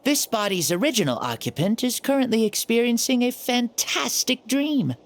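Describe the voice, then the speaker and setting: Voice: mid-range voice, animated, normal speed, slight background noise, lightly acted. An actor voicing a playful ancient hero spirit in a young boy's body, cocky and theatrical, for a video game. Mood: assured.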